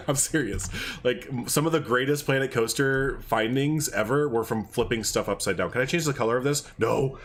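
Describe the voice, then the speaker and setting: A stuffed-up man chats away while he plays through a game on stream, thinking out loud and drifting from one thought to the next. He fills the pauses with little hummed tunes. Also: mid-range voice. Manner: rambling and chatty, thinks aloud, hums filler tunes. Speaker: livestreamer. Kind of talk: casual gameplay livestream chat.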